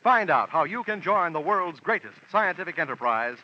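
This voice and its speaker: A man announcing in a scripted, old-time radio style, as in a mid-century broadcast pitch. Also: sing-song, moderate pace. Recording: dull, slightly noisy old radio recording.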